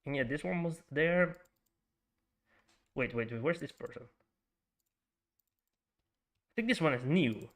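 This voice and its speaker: A man's voice: a little high, moderate pace. A man with a Frisian Dutch accent streaming a strategy game, thinking aloud, greeting viewers and stumbling through fillers and restarts. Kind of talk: casual livestream commentary while playing.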